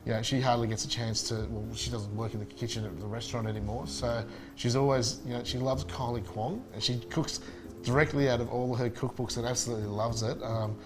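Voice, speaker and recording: A man's voice: mid-range voice, fairly even intonation, fairly quick, music in the background, rough recording. A man, a chef chatting casually and enthusiastically in an interview, with many fillers and restarts. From Spain.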